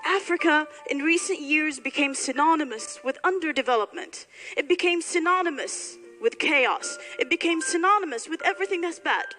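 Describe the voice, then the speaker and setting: A woman's voice: high-pitched, expressive, moderate pace, bright, forceful tone. A woman from Somalia giving a public speech to graduates, speaking emphatically and building points through rhetorical repetition. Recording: hall reverb, faint music.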